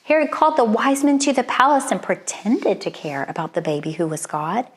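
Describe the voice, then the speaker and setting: A woman's voice: fairly high pitch, a little fast, husky. Woman reading a children's storybook expressively. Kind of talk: expressive read-aloud children's storybook narration.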